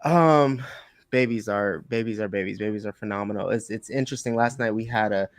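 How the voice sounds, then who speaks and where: A young man who works as a family therapist speaks earnestly and fairly softly. The recording is dry and close. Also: on the low side, fairly quick, no room sound. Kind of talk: casual panel chat.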